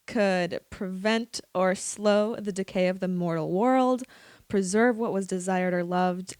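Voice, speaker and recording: Casual irreverent lady podcaster, medium pitch, really dry close sound. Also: no echo. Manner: informal, irreverent. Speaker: podcast panelist. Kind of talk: casual podcast talk with scripted explanations.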